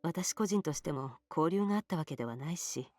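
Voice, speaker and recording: A woman's voice: sits low, expressive, very fast, music in the background. An actress playing a polite, evasive woman doctor in a Japanese visual novel. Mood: guarded, evasive.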